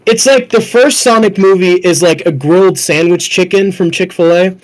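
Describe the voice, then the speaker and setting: High-voiced, excitable young man chatting. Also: normal speed, rough recording. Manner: excitable, slangy, profane. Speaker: podcast panelist. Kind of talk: casual podcast chat.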